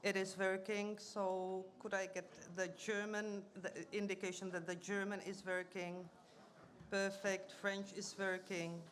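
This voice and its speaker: A woman chairing a formal union meeting, welcoming guests and reading out speakers' names and titles with frequent pauses and fillers, speaking into a table microphone in a conference room. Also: mid-range voice, little pitch movement.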